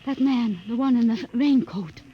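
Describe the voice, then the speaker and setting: Actress voicing a tense young rebel wife, high voice, urgent, hissy old radio broadcast. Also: female voice, moderate pace, lo-fi sound, natural voice. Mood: uneasy. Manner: urgent, alarmed. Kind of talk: 1950s radio drama acting.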